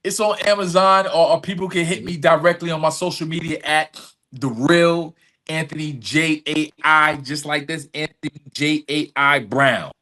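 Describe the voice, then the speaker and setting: A man's voice: fairly high pitch, normal speed, sharp tone. A man speaking as an interview guest, emphatic and plain-spoken in street phrasing, repeating phrases to drive a point home. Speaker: podcast guest, restorative justice advocate. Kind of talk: conversational interview advocacy.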